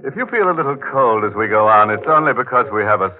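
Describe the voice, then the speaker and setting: A ghoulish, punning horror host played by a man on 1940s radio, theatrical and darkly playful. The voice is low and swings widely in pitch. It comes through a thin, band-limited old recording with music clearly behind it. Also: animated, quick pace, phone-quality sound, natural voice. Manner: theatrical, punning, mock-welcoming.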